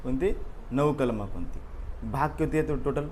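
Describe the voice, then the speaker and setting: A man's voice: mid-range voice, normal speed, darkish tone, a little hiss or hum. A man teaching an exam review class, repeating answers and quizzing his students. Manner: drills answers through repetition and questions.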